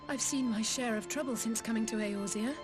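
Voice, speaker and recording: A woman's voice: a little high, brisk, over music, natural voice. A young woman playing an earnest, heartfelt young heroine who grieves for her fallen friends in a video game cutscene. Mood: sorrowful.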